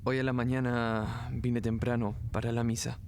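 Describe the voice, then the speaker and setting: A subdued teenage boy played by a man answers in a flat tone, in radio drama style. The recording is clearly noisy, with clicks. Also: medium pitch, normal speed, lightly acted. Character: subdued sixteen-year-old boy witness.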